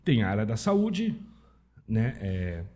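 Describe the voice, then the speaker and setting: A Brazilian man with a husky voice talking casually as a podcast guest, informal and anecdotal, often checking in with tag questions. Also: lowish voice, sing-song, takes it slowly, dark tone. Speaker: podcast guest, Italian citizenship specialist. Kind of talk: casual conversational interview.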